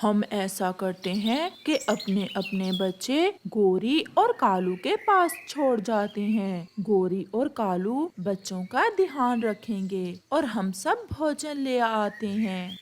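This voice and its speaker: A woman's voice: medium pitch, sing-song, slow, hardly any pauses, slight background noise. A woman voicing a helpful, practical male pigeon in a cartoon, earnest and organizing. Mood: practical, concerned.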